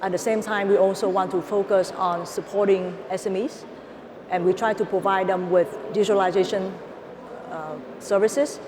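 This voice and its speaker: A woman's voice: mid-range voice, fairly even intonation, normal speed. A woman in her thirties with a Vietnamese accent, giving a prepared stage presentation as a panelist. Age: 30s. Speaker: panelist, venture capitalist. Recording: reverberant hall with clear ambient noise.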